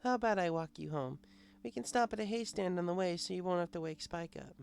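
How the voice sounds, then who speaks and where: Man reading as caring female pony pal in a storybook, lightened high voice, cheerful and coaxing. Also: fast, vocal fry, slight background noise. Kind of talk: audiobook character voice.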